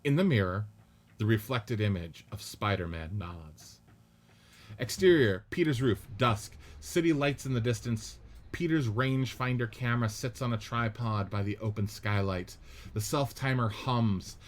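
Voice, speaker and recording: A man narrating stage directions in a measured, matter-of-fact way during a radio play script reading. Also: on the low side, animated.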